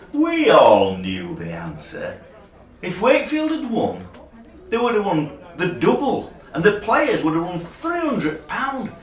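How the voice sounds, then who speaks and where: An actor playing an aggrieved, excitable rugby supporter in a radio play. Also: male voice, high-pitched, heavily acted. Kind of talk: dramatic radio play retelling. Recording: echoey, distant from microphone.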